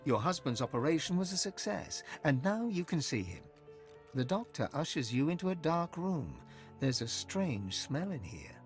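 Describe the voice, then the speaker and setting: A man performing as an ominous storyteller, narrating a dark fantasy game in a measured, theatrical way over music. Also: fairly high pitch, very animated. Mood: grim, ominous. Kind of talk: dramatic scripted second-person narration.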